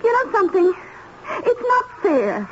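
An actress playing a flustered, plain-spoken young housewife in an old-time radio drama, hesitant and folksy. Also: female voice, very high-pitched, big pitch swings, a little slow, exaggerated voice. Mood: shy, curious. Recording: dull, noisy old radio recording.

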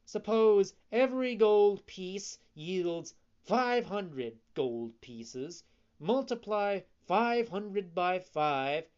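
A reader voicing a sly, smooth-talking fox swindler in an audiobook, persuasive and coaxing. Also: medium pitch, a little mellow, highs cut off, pitched above the performer's own voice. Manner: persuasive, coaxing, mock-offended. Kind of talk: audiobook reading.

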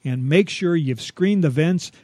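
A man's voice: a little high, measured pace, mellow, no echo. A man co-hosting a home improvement show, giving folksy advice with wry, scripted banter.

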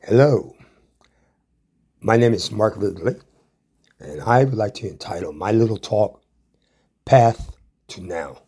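Male American storyteller, autobiographical. Slightly husky, dark tone, takes his time. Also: on the low side, animated, takes it slowly, long pauses. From Ann Arbor, Michigan, USA. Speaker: guest storyteller.

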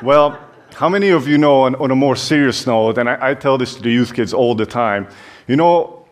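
A man's voice: mid-range voice, moderate pace. Hungarian-accented young man, chatty church talk. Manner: chatty, self-deprecating, many fillers. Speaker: youth pastor. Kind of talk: church talk, personal story.

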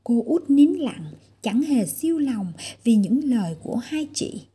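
Dark, husky woman telling a fairy tale. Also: on the high side, big pitch swings, unhurried. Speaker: storyteller for children. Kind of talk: scripted fairy-tale narration.

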